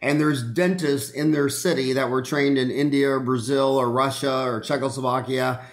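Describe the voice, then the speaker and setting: A man's voice: mid-range voice, fairly even intonation, moderate pace. A middle-aged man hosting a podcast, chatting in a rambling, enthusiastic, joking way with many fillers. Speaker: podcast host, dentist. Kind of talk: casual interview chat.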